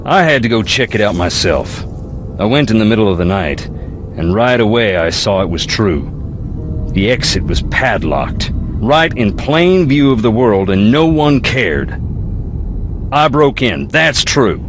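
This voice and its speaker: An actor playing an aggrieved, rough-edged young man in a video game, talking in a folksy, plain-spoken way. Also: lowish voice, sing-song, measured pace, noisy background. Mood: indignant. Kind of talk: video game character dialogue.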